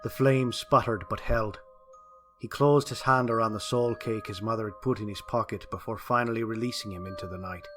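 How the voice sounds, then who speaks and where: A man's voice: on the low side, fairly even intonation, brisk, over music. A man reading a scripted historical ghost story as a dramatic narrator.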